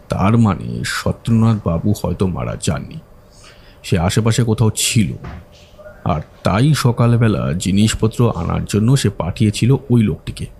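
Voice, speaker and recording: A man playing a methodical, earnest detective in an audio drama, explaining his reasoning deliberately. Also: on the low side, gravelly, warm tone, a little hiss or hum. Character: methodical male detective reasoning through a case, earnest, analytical. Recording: music behind the voice.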